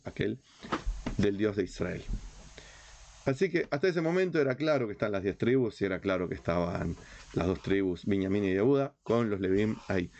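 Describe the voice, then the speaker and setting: Low-voiced Uruguayan man teaching, muffled sound. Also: a little mellow. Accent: Rioplatense Uruguayan. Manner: didactic, emphatic, with rhetorical questions and repetition. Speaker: rabbi teacher. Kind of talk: informal religious history lecture.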